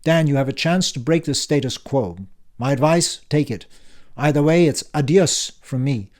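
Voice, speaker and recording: A brash, sardonic older uncle barks his lines in a cutting, impatient manner, put on by a man reading an audiobook. The voice sits higher and louder than the reader's own, gruff and bossy throughout. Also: moderate pace, natural voice. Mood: brusque. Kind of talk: audiobook character voice.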